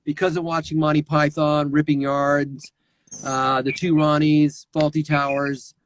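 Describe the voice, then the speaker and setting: A middle-aged man, a voice actor, telling lively anecdotes over a remote call and slipping into character voices. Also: on the high side, a little slow, slight background noise. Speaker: voice actor guest. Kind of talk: animated anecdotal storytelling.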